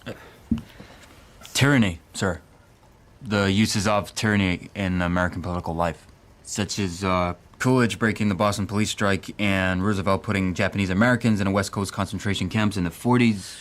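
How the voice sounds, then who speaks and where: A man's voice: sits low, fairly even intonation, normal speed, close to an everyday voice. A man acting a nervous young doctoral student in a radio drama, respectful and stammering.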